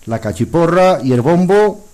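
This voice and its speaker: A man's voice: on the high side, very animated, moderate pace, darkish tone. A man who presides over a football fan club, asking a friendly, rambling question on a radio show.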